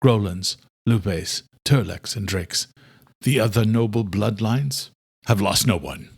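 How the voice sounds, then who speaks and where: A man's voice: fairly low pitch, slow pace, gravelly, slightly rough, heavily acted. A man voicing a grieving vampire duke who addresses his peers in a formal, pointed way. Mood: grave, accusing.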